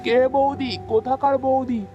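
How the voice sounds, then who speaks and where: Woman voicing an agitated young man, high-pitched. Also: flat delivery, moderate pace, highs cut off, soft background music, lightly acted. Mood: worried. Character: anxious, agitated young village man in a ghost tale. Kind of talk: children's cartoon voice acting.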